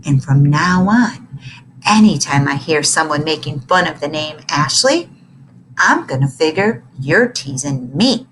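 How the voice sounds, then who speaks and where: A sneering fifth-grade bully boy, as a woman puts him on for a storybook reading, speaking low and slow with a threatening drawl. The voice sits deeper than the reader's own and drags each word out. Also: animated, a bit bright, a little hiss or hum. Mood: menacing.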